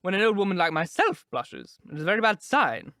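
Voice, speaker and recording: Guy doing a high fluttery old duchess, gushy, bright dry sound. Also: sing-song, fairly quick, dry recording, heavily acted. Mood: effusive. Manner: fluttering, effusive. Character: gushing elderly aristocratic duchess, woman.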